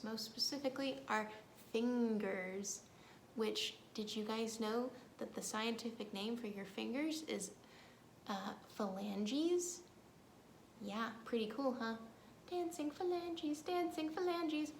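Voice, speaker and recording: A woman with a fairly high voice leads storytime for young children. She is playful and sing-song, reciting rhymes and talking straight to the kids. The room is clearly echoey, with faint noise behind her. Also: fairly bright tone. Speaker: children's librarian leading storytime.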